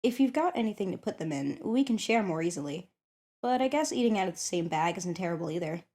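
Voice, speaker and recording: Woman doing an eager kinda shy popular high school girl, soft mellow voice, chatty asmr roleplay, thinks out loud. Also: expressive, quick pace. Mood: playful, eager. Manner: chatty, hesitant, thinking aloud. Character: shy, eager popular schoolgirl curious about tabletop games. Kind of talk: ASMR roleplay, one-sided conversation.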